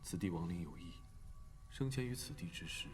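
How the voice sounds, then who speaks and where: Deep-voiced, composed, serious cultivator. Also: male voice, animated, brisk, over music. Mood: grave. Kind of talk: Chinese animated drama dubbing.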